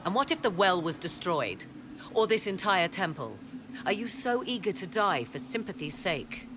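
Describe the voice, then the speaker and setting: A woman playing a haughty, cunning sorceress in a fantasy game, with theatrical, archly formal and deliberate phrasing. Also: on the low side, big pitch swings, noisy background. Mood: challenging. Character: haughty, cunning sorceress, hungry for forbidden power. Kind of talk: dramatic fantasy game acting. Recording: game music behind the voice.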